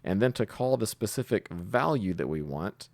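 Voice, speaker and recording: A man hosting a casual coding tutorial, thinking aloud with hesitant pauses as he improvises. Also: lowish voice, lively intonation, vocal fry, darkish tone, no room sound. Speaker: tutorial host, programming instructor.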